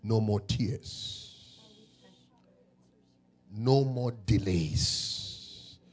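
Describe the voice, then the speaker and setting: A man from Ghana with a Ghanaian accent, a pastor calling himself a prophet, praying over people in a church service with slow drawn-out declarations that swell into loud shouts. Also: on the low side, breathy edge. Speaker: pastor, self-styled prophet.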